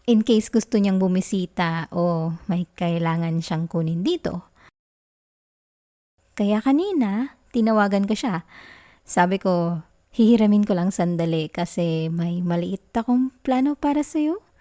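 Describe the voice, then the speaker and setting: A woman with a Filipino accent playing a caring, playful girlfriend in a soft, intimate ASMR roleplay. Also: fairly low pitch, lively intonation, unhurried, long pauses. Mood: reassuring, sweet. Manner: soft, intimate, giggly, teasing. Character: affectionate, caring young girlfriend, playful and sweet.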